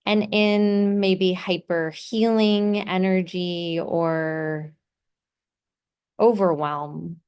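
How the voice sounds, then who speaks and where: A woman leading a guided meditation in a soothing, gently instructive way, pausing between short phrases. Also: fairly low pitch, fairly even intonation, clear, resonant tone.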